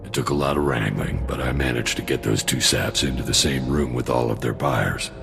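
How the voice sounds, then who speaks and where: A sardonic mercenary soldier with an extremely low, rumbling voice and plenty of gravel in it. He delivers his dry, greedy schemes in a laid-back game-cutscene style, with prominent music underneath. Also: brisk. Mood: wry, grumbling. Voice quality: very deep, gravelly. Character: cynical, greedy mercenary soldier, alien arms dealer with dry humour. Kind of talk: video game cutscene dialogue.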